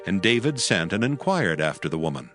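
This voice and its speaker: A man narrates scripture in a measured, solemn way with a fairly low voice. A clear music bed runs beneath his reading throughout. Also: very animated, fairly bright tone.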